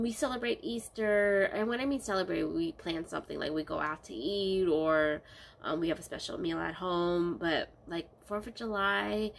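A woman's voice: medium pitch, vocal fry. A young woman with a stuffy, congested voice from a cold, chatting casually in a rambling vlog from her bedroom. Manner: rambling, many ums, relaxed diary talk. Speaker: vlogger. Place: small bedroom, indoors.

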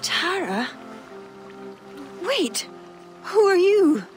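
A woman's voice: very high-pitched, big pitch swings, very slow, soft background music, full character voice. An actress playing a brash, tipsy Irish woman poet with a theatrical, wry way of talking. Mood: groggy.

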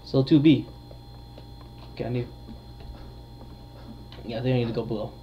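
A man's voice: mid-range voice, steady tone, normal speed, a little hiss or hum. Man doing casual gaming commentary, slightly dull audio. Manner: talking to viewers, thinking aloud. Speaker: gamer, video host. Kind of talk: casual gameplay commentary of a horror game.

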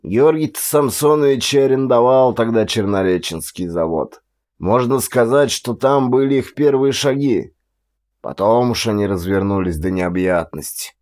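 A man's voice: on the low side, darkish tone, natural voice. A man voicing a grey old Siberian former police chief, boastful and nostalgic, rambling in an old-fashioned deferential way for an audiobook. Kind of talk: audiobook character dialogue.

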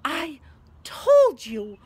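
Woman acting a bossy, high-voiced schoolgirl. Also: moderate pace, lightly acted. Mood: breathless scolding. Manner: scolding, emphatic. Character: bossy, exasperated young schoolgirl.

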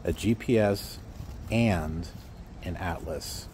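Deep, slow-talking middle-aged man outdoors. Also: darkish tone, slight background noise. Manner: sarcastic, rambling, many fillers. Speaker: trucking vlogger. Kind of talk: casual outdoor vlog rant. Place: outdoors by a block wall. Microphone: phone at arm's length.

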